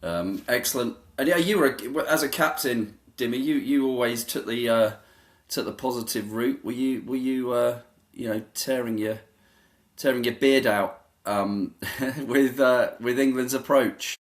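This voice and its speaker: A man presents a podcast interview in a conversational style. His voice sits at a medium pitch, and he feels his way through loosely worded, hesitant questions. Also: unhurried. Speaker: podcast host.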